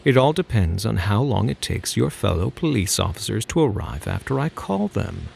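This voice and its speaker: A man playing a smug, flirtatious phantom thief in an ASMR roleplay, teasing and mock-polite, with a husky, gravelly voice. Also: fairly low pitch, sing-song, normal speed, darkish tone, a little hiss or hum, heavily acted. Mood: smug, mock-caring.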